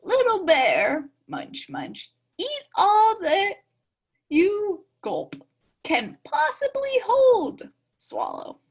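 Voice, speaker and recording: A woman voicing a motherly storybook mother bear who instructs her cub with drawn-out words and munching. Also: extremely high pitch, huge pitch swings, voice pushed up, heavily acted. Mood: munching, urging.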